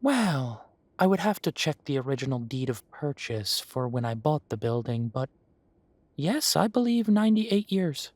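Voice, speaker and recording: A man playing a genial, long-lived elven café owner who reminisces in an unhurried, chatty way, in a roleplay audio drama. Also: mid-range voice, big pitch swings, dry recording. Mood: genial, reminiscing. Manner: unhurried, musing, conversational with pauses.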